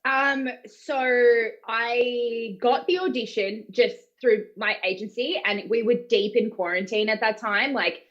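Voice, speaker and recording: A woman's voice: on the high side, fairly even intonation, a little slow, hardly any pauses, a bit bright, highs cut off. An Australian woman, a voice actor, talking in a casual, informal, rambling way. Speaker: voice actor being interviewed. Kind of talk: casual chat.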